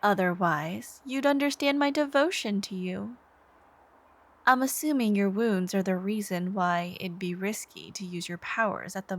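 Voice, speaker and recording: A woman voicing an obsessive, devoted, soft-spoken supervillain in a scripted ASMR roleplay, intimate and menacing, with phrases trailing into whispers. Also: medium pitch. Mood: adoring, possessive. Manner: slow, intimate, breathy sighs and whispered phrase endings.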